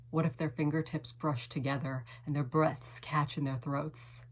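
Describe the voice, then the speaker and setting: Female poet, low register, unhurried dramatic delivery with hushed, lingering phrasing. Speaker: poet reading own poem. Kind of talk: slow dramatic poetry reading.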